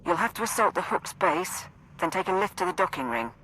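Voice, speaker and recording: A woman playing a composed military support officer in a video game, briefing in a brisk, matter-of-fact way. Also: fairly low pitch, lively intonation, fairly bright tone, slight background noise, rough recording. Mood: calm, businesslike. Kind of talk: video game dialogue over comms.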